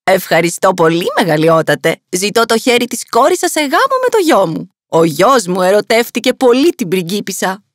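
A woman playing a worried, humble middle-aged mother, fretful and deferential, in an animated fairy tale. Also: mid-range voice, no room sound, studio quality, close to an everyday voice.

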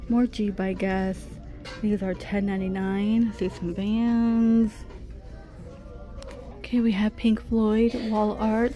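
A woman's voice: medium pitch, mellow. Chatty woman browsing a store. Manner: chatty, reads out prices while browsing. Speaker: shopping vlogger. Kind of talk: casual shop-with-me narration. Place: busy discount clothing store.

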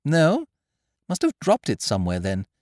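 A man voicing a breezy, chummy young gentleman of leisure who frets easily. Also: a little high, huge pitch swings, moderate pace, no room sound, studio quality, voice pushed up. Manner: breezy, chummy upper-class banter.

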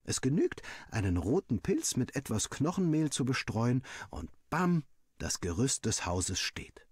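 Husky, animated male storyteller. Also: medium pitch, measured pace, no echo, studio quality. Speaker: audiobook reader. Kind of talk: scripted first-person story narration, acting out dialogue.

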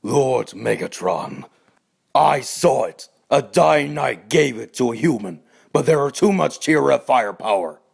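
Animated robot heavy male actor: gruff, husky, very gravelly, brash and menacing. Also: mid-range voice, unhurried, long pauses, exaggerated voice. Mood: grim. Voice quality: gravelly, rough. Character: tough, gruff robot villain, brash and threatening. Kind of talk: cartoon voice audition.

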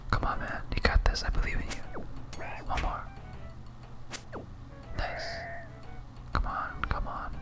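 An ASMR game streamer speaks in an unvoiced whisper. The delivery is casual, like muttering to oneself while playing, and music plays clearly behind it. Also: slow, long pauses. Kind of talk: whispered ASMR gameplay commentary.

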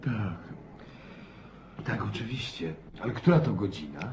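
An actor playing a sleepy, polite, reluctant listener in a radio play, hesitant and mumbling. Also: male voice, on the low side, expressive, measured pace, darkish tone, slight background noise. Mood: weary, polite.

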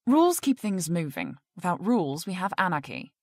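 Prim, lowish-voiced research director. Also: female voice, very animated, normal speed, noisy background. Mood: officious, defensive. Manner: formal, officious. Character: prim, rule-bound female research project director. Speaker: actor in audio drama. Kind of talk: audio drama dialogue.

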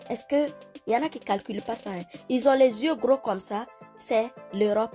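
A woman's voice: fairly high pitch, normal speed, mellow, faint music behind. A woman speaking directly to her phone camera, giving advice in a lecturing way. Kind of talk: advice video.

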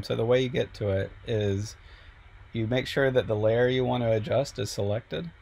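A man teaching a software class over a screen recording, a little sick and losing his voice, explaining step by step with frequent ums. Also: medium pitch, creaky, husky. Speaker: instructor.

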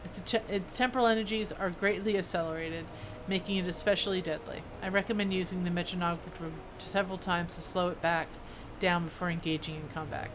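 A woman's voice: on the low side, steady tone, a little fast. A woman game streamer chatting casually and rambling to herself as she plays, sometimes reading quest text aloud. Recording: clear background game noise. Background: video game sounds.

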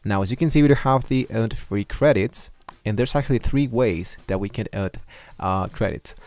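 A man walking viewers through software on his screen, talking loosely with many fillers and restarts. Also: on the low side, moderate pace. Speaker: tutorial host. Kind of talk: screen-recorded software tutorial. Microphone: desktop microphone at a computer.

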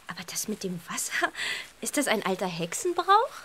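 A woman playing a lively, skeptical young girl who likes to tease, in a children's audio drama. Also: medium pitch, moderate pace, a little hiss or hum. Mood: curious, skeptical. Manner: skeptical, teasing, giggly. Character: lively, teasing girl, young witch's best friend.

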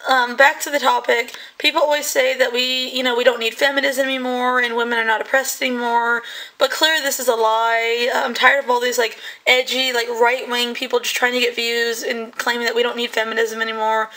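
A woman hosting her channel, giving heated, rambling commentary in a hoarse voice that is still coming back. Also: on the high side, bright, forceful tone, rough recording. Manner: heated, rambling, many fillers. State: hoarse, voice recovering. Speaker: channel host. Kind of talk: casual commentary.

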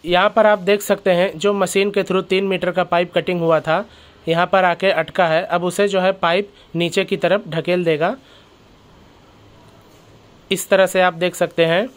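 Host, male, high and smooth voice, explaining a factory tour step by step. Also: fairly even intonation, normal speed. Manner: explains step by step, keeps addressing viewers as friends. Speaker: channel host. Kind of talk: factory tour vlog narration.

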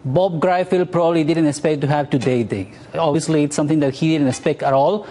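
A man's voice: a little high, brisk, mellow. A man in his forties speaking as a guest commentator in a live TV news interview. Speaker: author and market commentator guest.

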